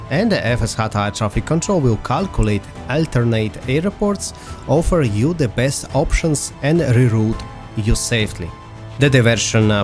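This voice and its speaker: A man presenting a semi-scripted software promo narration in a halting way, with frequent pauses. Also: mid-range voice. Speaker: presenter of a software roadmap video. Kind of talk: semi-scripted promotional narration over a flight simulator demo. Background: music.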